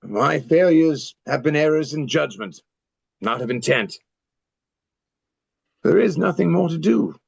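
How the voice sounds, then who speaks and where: A man's voice: a little high, expressive, unhurried, gravelly, pauses often, slightly rough, no echo, full character voice. A man playing an ailing old general in a historical play reading, dry and formal in manner, on a slightly dull recording. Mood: grave.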